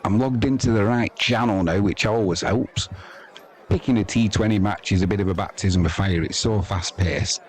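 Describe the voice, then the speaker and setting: A man's voice: fairly low pitch, normal speed. A man hosting casual live sports commentary in a chatty, informal, self-deprecating way. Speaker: live cricket commentator and stream host.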